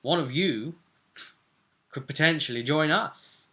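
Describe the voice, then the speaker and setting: A man's voice: a little high, measured pace, fairly bright tone. A man hosting as game master, casually recapping a tabletop role-play session and repeating phrases for dramatic emphasis. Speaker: game master and vidcast host.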